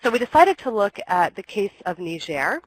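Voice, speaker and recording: A young woman with a cold gives a webinar presentation, her voice a touch scratchy. She explains step by step, often pausing on ums and correcting herself. Her pitch sits in the middle range, and her delivery is even. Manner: explanatory, with frequent ums and restarts. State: has a cold, slightly scratchy.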